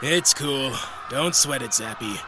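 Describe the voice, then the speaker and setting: A man's voice: medium pitch, slow, background noise, close to an everyday voice. A man playing a laid-back, cocky teenage boy hero in an audio drama, with a casual, wisecracking manner. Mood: breezy, teasing. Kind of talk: fan audio drama acting.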